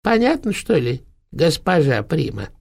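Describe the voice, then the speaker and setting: A man's voice: on the high side, big pitch swings, unhurried, vocal fry, a little mellow, slightly rough, voice pushed up, exaggerated voice. A man voicing a dead, rotting old witch grandmother who sneers and scolds her granddaughter in a cracked, rattling voice. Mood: commanding. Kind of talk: audiobook narration.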